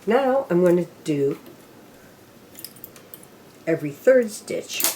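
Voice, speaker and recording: A woman's voice: fairly low pitch, sing-song, slow pace, dark tone, slight background noise. An older woman casually demonstrating machine knitting step by step, counting rows aloud as she works. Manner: talks to herself while working, counting rows aloud. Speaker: knitting instructor.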